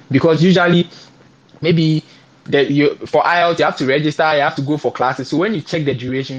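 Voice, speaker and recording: A man's voice: on the high side, poor audio. A young man from Ghana with a Ghanaian English accent, giving motivational advice and acting out the emails he sent. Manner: animated, repeats key points, acts out emails he wrote. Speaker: scholarship guest speaker.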